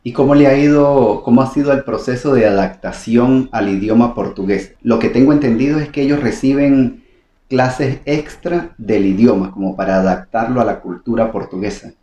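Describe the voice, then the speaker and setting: A man's voice: medium pitch, normal speed, mellow, reverberant, muffled recording. A man hosting an interview and asking the guest short, prompting questions. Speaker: interviewer, channel host.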